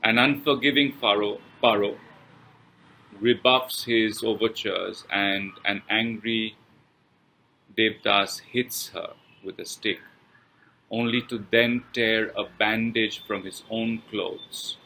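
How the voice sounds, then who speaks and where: A man reading a scripted film review as presenter, with measured, halting, deliberate phrasing. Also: on the low side, fairly even intonation, sharp tone, poor audio. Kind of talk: scripted read-aloud review with pauses.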